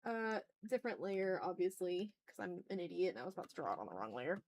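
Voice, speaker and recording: A woman's voice: on the low side, lively intonation, quick pace. A woman chatting casually on an art livestream, thinking aloud with self-deprecating remarks and some swearing. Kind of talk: casual livestream chat while drawing.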